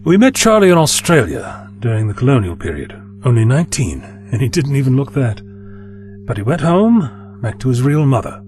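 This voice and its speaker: Animated male time-travelling adventurer played by an actor. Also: mid-range voice, moderate pace, faint music behind, lightly acted. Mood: chatty, reflective. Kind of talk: audio drama acting.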